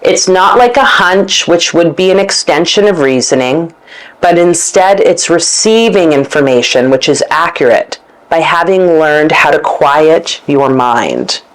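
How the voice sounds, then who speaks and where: A woman hosting a meandering spoken monologue, reading passages aloud and thinking out loud with pauses and fillers. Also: deep voice, animated, rough recording. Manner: meandering, searching, with fillers and self-corrections; reads passages aloud from a book. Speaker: esoteric channel host.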